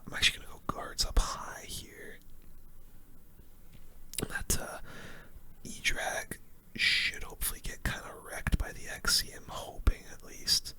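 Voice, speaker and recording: A gaming host giving relaxed, casual ASMR commentary on a match in a soft, breathy whisper, full of gamer slang. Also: takes it slowly, pauses often.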